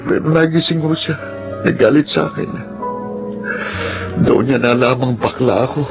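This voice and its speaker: An actor playing a troubled young Filipino lawyer in a radio drama, hesitant and confessional. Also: male voice, medium pitch, normal speed, vocal fry, close to an everyday voice. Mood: remorseful confession. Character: troubled, soft-spoken young male lawyer with a secret. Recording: music behind the voice.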